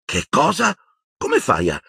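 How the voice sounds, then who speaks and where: Audiobook role: earnest, anxious teenage girl played by a man, lifted pitch, swooping intonation, hesitant and pleading. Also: wildly swooping pitch, slow, gravelly, pushed and bright, breathy edge, no echo, heavily acted. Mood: alarmed.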